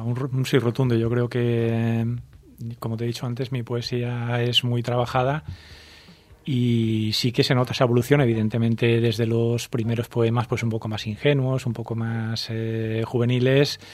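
A man from the Valencia region, a poet answering interview questions in a measured, thoughtful way with frequent hesitations. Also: medium pitch, flat delivery, no echo. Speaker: poet, guest.

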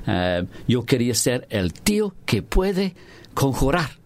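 A middle-aged man with a Glasgow accent, a writer interviewed in Spanish, which he speaks haltingly as a non-native with many restarts and fillers. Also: medium pitch, sing-song. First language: English. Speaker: writer and guest.

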